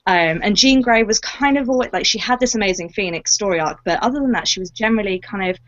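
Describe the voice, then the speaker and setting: A woman's voice: mid-range voice, fast, muffled recording. A woman who acts in audio drama, chatting reflectively and expressively about her work, stressing key words. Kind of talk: casual Q&A chat.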